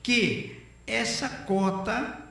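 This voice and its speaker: A man's voice: high voice, drawn-out words, vocal fry, bright, forceful tone. An older man, giving his opinions in conversational radio talk and repeating phrases for emphasis. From Northeast Brazil. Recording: very echoey room.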